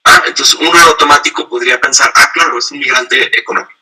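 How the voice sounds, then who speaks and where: A man's voice: medium pitch, steady tone, quick pace, pushed and bright, husky. A man giving an online training lecture on asylum law, explaining step by step and acting out short example dialogues.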